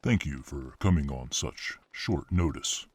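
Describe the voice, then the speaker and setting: A man playing a grave, courteous senior official in a fantasy audio drama, with a husky voice and a formal, guarded manner. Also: a bass voice, expressive, measured pace, darkish tone, slight background noise, full character voice.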